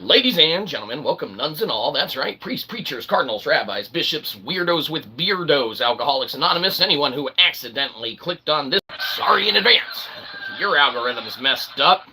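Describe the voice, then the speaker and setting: A man's voice: fairly high pitch, very animated, normal speed, bright, forceful tone, slightly rough, low-quality recording. An American man hosting his own channel, delivering a rambling, sarcastic comedic monologue full of fillers and asides. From United States. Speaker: channel host.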